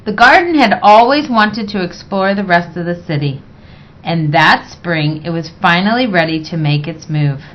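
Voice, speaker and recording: A woman reading a children's picture book aloud in a measured, expressive way. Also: fairly low pitch. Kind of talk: read-aloud children's story narration. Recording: dull, lo-fi sound.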